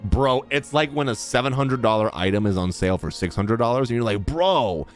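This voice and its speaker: A man's voice: medium pitch, animated, fairly quick. A man streaming a game, giving casual, slangy, joking reaction commentary. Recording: game music behind the voice.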